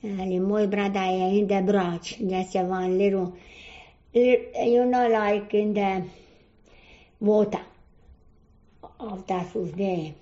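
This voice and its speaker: An older woman from Croatia speaks slowly in broken English with a Croatian accent, and she often stops to search for a word. Her voice is mellow and low in brightness. The recording is dull and lo-fi. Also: steady tone. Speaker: interviewee. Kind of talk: oral history interview.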